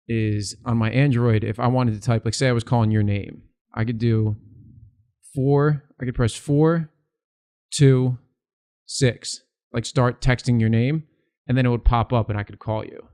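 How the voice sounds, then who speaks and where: A man's voice: mid-range voice, vocal fry, darkish tone, clean tone. A young man co-hosting a podcast, chatting in an easygoing, rambling way with some restarts. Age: young adult. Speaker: podcast co-host. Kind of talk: casual chat.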